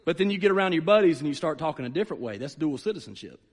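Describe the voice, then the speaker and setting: A man's voice: fairly high pitch, big pitch swings, very fast, slightly rough. A man preaching a sermon as a pastor, conversational yet emphatic, repeating phrases and checking in with his listeners. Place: large room, preaching to a gathered congregation. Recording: reverberant hall sound.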